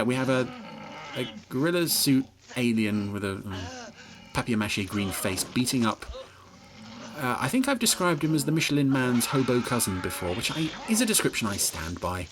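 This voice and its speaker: A man hosting a podcast, giving wry, hesitant and expressive running commentary on a television episode. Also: mid-range voice, creaky, noisy background. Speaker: podcast host.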